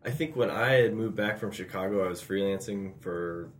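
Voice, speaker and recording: A man, a graphic designer guest, telling a story in a relaxed way and laughing often. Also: deep voice, moderate pace, a little hiss or hum. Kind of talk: casual interview chat.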